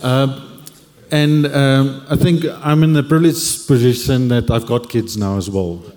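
A man in his forties speaks with a mellow, dark tone and a reflective, hesitant manner. His words trail off in a long hall reverb. He shares personal stories candidly on a church panel. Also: medium pitch, fairly even intonation, unhurried. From Cape Town, South Africa. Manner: reflective, hesitant, many fillers. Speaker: panel guest.

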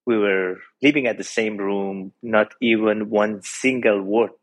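A husky man with a Persian accent speaks earnestly as a guest on a podcast. He tells a personal story in a reflective way, pausing often and hesitating as he looks for the right words. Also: fairly low pitch, fairly even intonation. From Iran. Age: 40s. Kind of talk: personal testimony in an interview.

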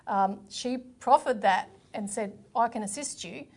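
A woman's voice: a little high, a little slow, a little mellow. An Australian woman co-hosting a political talk show, explaining background with frequent fillers. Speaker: co-host of a political current affairs program. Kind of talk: casual political discussion.

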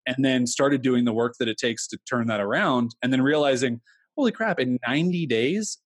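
A man's voice: mid-range voice, slightly rough, no echo. A man in his thirties, an entrepreneur talking candidly in an interview, rambling with many fillers. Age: 30s. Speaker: entrepreneur guest. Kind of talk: casual interview talk.

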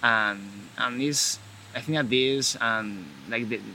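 A man from Galicia in Spain with a Spanish accent, talking in a casual conversation in halting English and often restarting his sentences. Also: medium pitch, pushed and bright, slight background noise, low-quality recording. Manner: halting, searching for words, frequent restarts.

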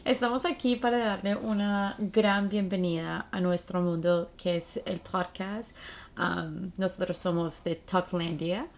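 A woman's voice: fairly low pitch, steady tone, unhurried. A woman in her late twenties from Colombia, with a Colombian accent, talking casually as a podcast co-host.

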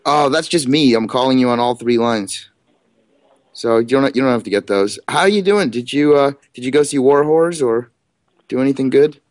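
A man with an ordinary mid-pitched voice makes a prank call in a very dry, close sound. He rambles along casually and acts overly familiar and friendly. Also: normal speed, no echo. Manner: chatty, faux-friendly, rambling. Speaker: prank caller.

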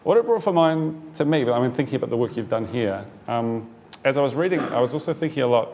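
A man's voice: medium pitch, lively intonation, fast talker, darkish tone. A man with a New Zealand accent answering on a panel, hesitant with many fillers and restarts.

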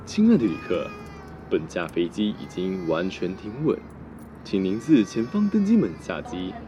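A man's voice: deep voice, huge pitch swings, moderate pace, over music. A man playing a polite, formal airline announcer in an audio drama.

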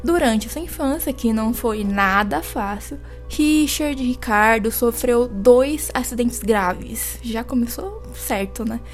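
A woman's voice: on the high side, slow, hardly any pauses. A young woman co-hosting a true-crime podcast, rambling with fillers and posing dramatic questions. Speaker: podcast co-host. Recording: faint music behind.

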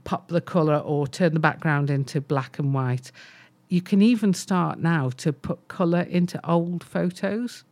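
A woman's voice: sits low, darkish tone. A woman co-hosting a business podcast, explaining tech features enthusiastically and thinking aloud with restarts. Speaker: co-host. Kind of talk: casual business podcast chat.

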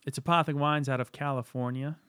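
A man's voice: medium pitch, fairly even intonation, a little fast, a bit bright, smooth, no echo. A man with a mid-range voice and a dry, sarcastic way of talking, chatting casually as a co-host. His voice is close and dead, with no room sound at all. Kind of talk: casual chat.

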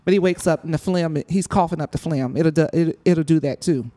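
Woman, raspy voice, somewhat low pitch, animated casual talk. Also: brisk. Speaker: guest, business owner. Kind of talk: casual chat.

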